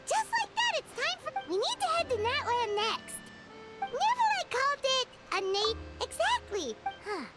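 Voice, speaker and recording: A woman voicing a tiny, excitable, childlike fairy companion in an anime video game, chatty and cheeky in a squeaky, animated way. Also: moderate pace, loud music behind the voice, heavily acted. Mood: excited.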